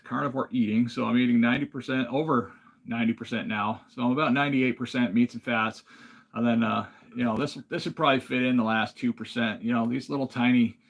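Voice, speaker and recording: A man from Alaska hosting a casual livestream, talking in a relaxed, rambling way and reading viewer comments aloud. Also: medium pitch, gravelly. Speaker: livestream host.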